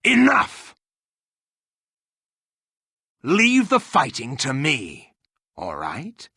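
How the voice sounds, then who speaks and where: A man voicing a stern, humorless, gruff hired warrior in a video game, with a husky voice and a curt, scornful way of speaking. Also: medium pitch, wildly swooping pitch, takes it slowly, bright, forceful tone, dry recording, clean studio sound, exaggerated voice. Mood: irritated.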